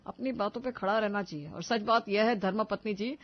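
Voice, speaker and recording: Casting: actor as domineering elder brother, blunt and chiding, animated family drama, slightly muffled recording. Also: medium pitch, brisk, close to an everyday voice. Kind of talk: animated family story acting.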